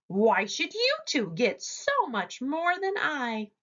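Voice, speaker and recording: A woman acts out a small male hare from a children's storybook, boastful and quick to take offence. The voice swings up and down in pitch and takes its time over the words. The sound is a little dull. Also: huge pitch swings, a bit bright, full character voice. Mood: indignant. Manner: bragging, protesting.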